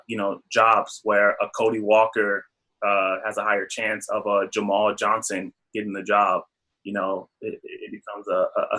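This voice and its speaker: A man's voice: lowish voice, a bit bright, rough recording. A man in a podcast discussion, speaking earnestly with many restarts and fillers.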